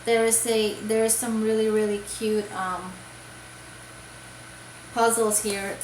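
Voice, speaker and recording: A woman's voice: mid-range voice, flat delivery, slow, echoey room, slight background noise, poor audio. A woman from Puerto Rico chatting casually about crafts for her video, rambling with many fillers and restarts. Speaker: crochet vlogger. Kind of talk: casual show-and-tell chat.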